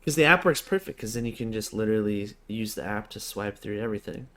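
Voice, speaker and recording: A man's voice: on the low side, animated, fast, bright, forceful tone. Mock-serious man bantering on a podcast. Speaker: podcast co-host. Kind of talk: casual banter.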